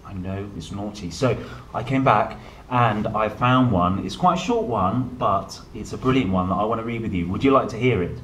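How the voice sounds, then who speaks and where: A man in his thirties reading a picture book to young children, playful and expressive, with chatty asides. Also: on the low side, normal speed. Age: 30s. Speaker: storyteller, host reading children's books. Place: indoor room, seated at a table. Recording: roomy echo, some background noise.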